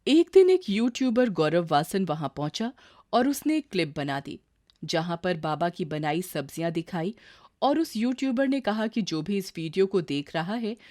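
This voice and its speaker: A woman's voice: on the low side, darkish tone. A woman reading a scripted story as its narrator.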